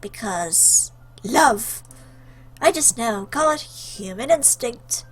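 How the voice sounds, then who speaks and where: A woman's voice: fairly high pitch, animated, takes it slowly, breathy edge, low-quality recording. A woman giving casual, jokey commentary as she plays a game, reading choices aloud in a playful, theatrical, teasing way.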